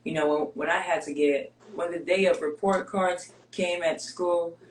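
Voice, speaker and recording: Greek-born woman guest with Greek as her first language, candid and measured, roomy echo. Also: on the low side, slight background noise. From Greece. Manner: candid, steady, measured with pauses. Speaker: guest, basketball player. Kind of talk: reflective interview storytelling.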